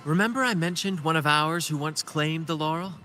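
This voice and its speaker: A measured, theatrical troupe leader. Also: male voice, a little high, bright, forceful tone. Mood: warm resolve. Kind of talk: scripted video game story dialogue. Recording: game music behind the voice. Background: music.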